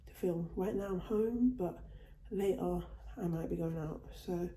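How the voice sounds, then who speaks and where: A woman's voice: fairly low pitch, a little slow, dark tone, reverberant. A woman showing off her shopping haul in a casual home vlog, her voice still a bit off from a cold. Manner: chatty, repeats and restarts phrases. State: recovering from a cold. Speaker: vlogger. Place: at home, indoors.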